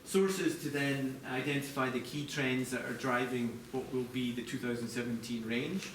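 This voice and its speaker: A man presenting product design live to a group, explaining in a halting way with corporate phrasing. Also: mid-range voice, steady tone. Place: large echoey indoor venue, apparently a hotel. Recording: echoey noisy room, distant mic.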